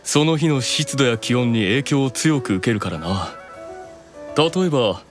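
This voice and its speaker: A man's voice: mid-range voice, lively intonation, faint music behind, natural voice. Composed, proud demon eldest brother, played by a man. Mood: quietly absorbed. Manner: composed, authoritative, deliberate explaining. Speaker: voice actor. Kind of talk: anime audio drama dialogue.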